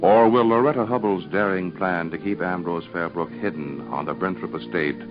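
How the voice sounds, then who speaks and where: A male announcer narrates a vintage radio soap in a measured, dramatic way. His voice is deep. Faint music plays behind him on a dull old recording. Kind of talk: 1940s radio serial narration.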